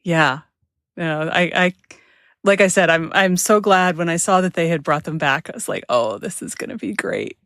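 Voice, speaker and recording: Female interviewer, podcast host. Slightly low pitch, conversational delivery, close dry sound. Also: expressive, moderate pace, fairly bright tone, no echo. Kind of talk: casual interview chat.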